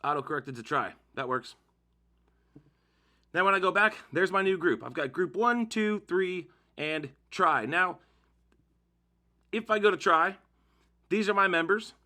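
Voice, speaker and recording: A man's voice: high-pitched, lively intonation, measured pace, long pauses, pushed and bright. A man narrating a casual how-to demonstration, explaining informally step by step as the host of a tutorial.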